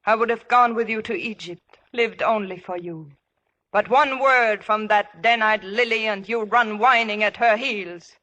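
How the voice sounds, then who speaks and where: Bitter, imperious biblical temptress, forceful. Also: female voice, a little high, a little slow, low-quality recording. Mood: bitter, scornful. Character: spurned, vengeful seductive temptress of biblical times. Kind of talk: 1950s radio drama acting.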